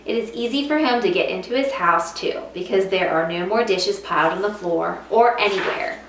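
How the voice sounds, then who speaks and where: A woman teacher reading a children's picture book aloud to students, with expressive storytelling and stressed repeated words. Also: medium pitch, moderate pace. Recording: roomy echo, distant mic, faint noise.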